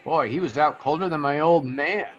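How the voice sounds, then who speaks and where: Shifty young American bellhop male actor, higher-pitched, slangy and hesitant hustler, vintage radio play, slightly dull sound. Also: moderate pace, natural voice. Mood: sly, chummy. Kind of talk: old-time radio detective drama acting.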